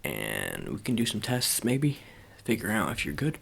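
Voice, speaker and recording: A man's voice: lowish voice, huge pitch swings, normal speed, creaky, a bit bright, slightly rough, full character voice. A man playing an awkward, politely doubtful sports agent in an improvised ASMR roleplay, stammering and repeating his phrases as he talks to the listener. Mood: hesitant, encouraging.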